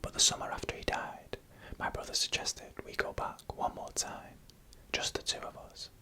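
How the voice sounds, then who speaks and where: A storyteller who never rises above a whisper, with a bright, crisp edge to the breath. The delivery is soft and unhurried ASMR narration, spoken close to the microphone for headphone listening. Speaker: narrator of scary UFO encounter stories.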